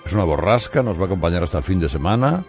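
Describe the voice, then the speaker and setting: A man's voice: deep voice, very animated, racing, darkish tone, soft background music. A middle-aged man hosting a morning news show, giving opinionated commentary and addressing listeners directly. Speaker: radio morning show host.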